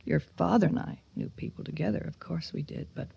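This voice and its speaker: A woman's voice: fairly low pitch, brisk, gravelly, mellow, husky, dull audio, full character voice. A woman acting an anxious, guilt-ridden middle-aged widowed mother in a radio drama, pleading hesitantly and emotionally. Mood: remorseful, defensive.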